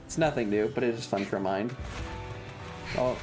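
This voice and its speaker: A streamer with a deadpan, sarcastic manner, giving casual gaming commentary and swearing, close to the microphone. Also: medium pitch, moderate pace. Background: loud game music.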